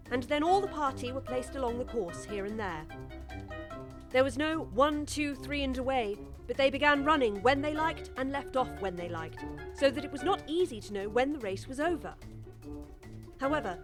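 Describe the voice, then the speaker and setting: A woman narrator reading a scripted children's audiobook story. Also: fairly high pitch.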